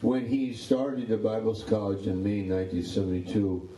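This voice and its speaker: A man's voice: fairly low pitch, normal speed, roomy sound, recorded from a distance, crowd noise, low-quality recording. A pastor in his sixties chatting casually about his ministry, rambling and repeating words. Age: 60s. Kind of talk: casual interview chat.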